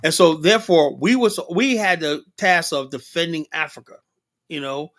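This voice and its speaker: A middle-aged man from Liberia holds forth on his livestream, rambling from point to point. He leans on fillers and keeps checking in with "you know?" and "right?". His delivery is animated. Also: on the high side, normal speed. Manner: rambling, animated, many fillers and rhetorical tags. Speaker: livestream host. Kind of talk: casual livestream discussion.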